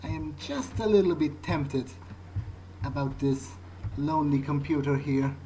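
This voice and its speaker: Over noticeable background ambience, a man plays a vampire musing to himself as he sneaks around in a video game. He is a non-native English speaker who talks slowly and hesitantly, muttering his thoughts with mild swearing. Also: fairly high pitch, warm tone, slight background noise, rough recording. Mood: hesitant, curious. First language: not English. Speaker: gamer playing in character. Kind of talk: in-character video game playthrough, thinking aloud.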